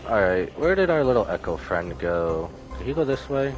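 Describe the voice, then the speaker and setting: Man, game streamer, deep, casual, self-questioning mutter, lively intonation, music in background. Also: normal speed, low-quality recording. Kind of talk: casual live gameplay commentary.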